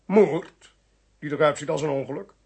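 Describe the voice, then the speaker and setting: Creaky-voiced, commanding investigator. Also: male voice, fairly high pitch, a little mellow. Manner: brisk, authoritative, dryly ironic. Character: commanding, shrewd male investigator, insistent and dryly ironic. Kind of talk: Dutch radio play acting.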